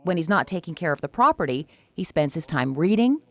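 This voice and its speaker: A woman's voice: deep voice, big pitch swings, a little fast, no room sound. A middle-aged woman, a TV news anchor, reading a scripted feature report.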